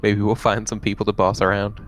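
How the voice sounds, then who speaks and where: A man's voice: on the low side, completely flat delivery, fairly quick, faint music behind. A man talking casually as a player in a tabletop roleplaying game.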